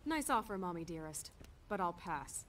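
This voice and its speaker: A woman's voice: fairly low pitch, big pitch swings, normal speed, a bit bright, slight background noise. A woman playing a tough, wisecracking young female soldier in a video game, with a sarcastic, punchy delivery. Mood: mocking. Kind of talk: video game cutscene acting.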